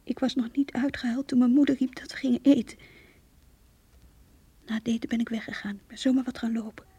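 Breathy, heartbroken young woman. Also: a little high, fairly even intonation, no room sound. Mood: numb, sorrowful. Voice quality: breathy, hushed. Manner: intimate, halting, sorrowful. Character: heartbroken young woman confiding her lost love. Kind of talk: radio play monologue, diary-like narration.

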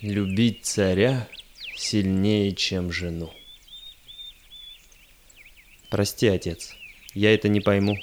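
A man acts an earnest, questioning son in a historical radio play. The voice is low and measured, reciting verse, with faint noise and birdsong behind it. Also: steady tone, close to an everyday voice. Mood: doubting. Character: earnest, questioning grown son of a Cossack explorer. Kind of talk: audio drama acting in verse.

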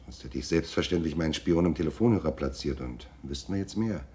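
An actor playing a shabby, pedantic private detective in a radio drama, dry and officious, evasive and grumbling. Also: male voice, extremely low pitch, animated, fast, a little mellow. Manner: dry, officious, stilted phrasing. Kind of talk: German radio drama acting.

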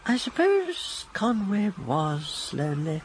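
A woman's voice: mid-range voice, very animated, takes it slowly, slight background noise. Genteel English lady, radio drama, swooping intonation, chatty, loyal, readily surprised. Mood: curious.

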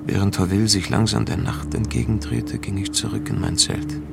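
Brooding, gravelly noir-like narrator. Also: male voice, fairly low pitch, steady tone, brisk. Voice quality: creaky. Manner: brooding, literary. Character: brooding, world-weary male investigator narrating his story. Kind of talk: first-person radio drama narration. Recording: music playing behind the voice.

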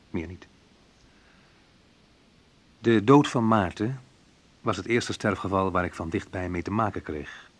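Wry reflective guy telling his youth story in a radio play, kinda low and husky, confiding. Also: a bit bright. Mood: amused reminiscence, then somber, bitter. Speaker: narrator and main character of a radio play. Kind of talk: intimate first-person radio drama narration.

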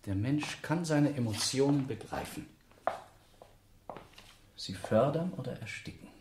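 A man acting a brooding, self-important scholar in a radio play, deliberate, theatrical and dismissive as he argues with himself. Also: mid-range voice, animated, roomy sound, background noise, natural voice. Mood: self-assured. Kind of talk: German radio play monologue.

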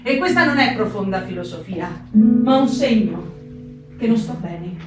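A woman's voice: medium pitch, expressive, a little slow, close to an everyday voice. Actress as introspective young woman, echoey and distant. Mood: troubled, confiding. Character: introspective, restless young woman diarist baring her soul. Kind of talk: dramatic stage reading of a diary. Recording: echoey room, distant mic, music behind. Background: plucked guitar music.

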